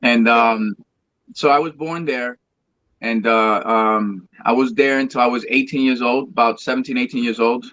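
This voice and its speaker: A man's voice: medium pitch, fairly even intonation, moderate pace, fairly bright tone, no room sound. Man on dull, dry call audio. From Colombia. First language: Spanish. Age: 30s. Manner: rambling, repeats words and restarts often. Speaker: guest singer. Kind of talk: casual interview chat.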